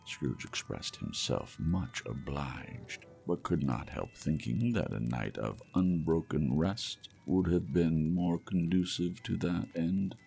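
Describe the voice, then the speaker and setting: A man reading a classic story aloud as audiobook narrator, in a slow, measured, soothing manner. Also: deep voice, creaky, a little mellow. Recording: faint music behind.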